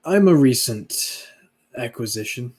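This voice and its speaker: Laconic veteran gun for hire, acted by a man, deadpan, deferential, halting, raspy mid-pitched voice, tabletop roleplay. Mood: dry, deferential. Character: laconic, obedient male hired gun, military veteran. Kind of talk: improvised in-character tabletop roleplay.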